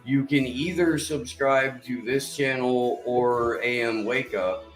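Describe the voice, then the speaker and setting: A man's voice: mid-range voice, fairly even intonation, takes it slowly, roomy sound, soft background music, poor audio. A man hosting a podcast in casual chat, profane and rambling. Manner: profane, laid-back, rambling. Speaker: podcast host.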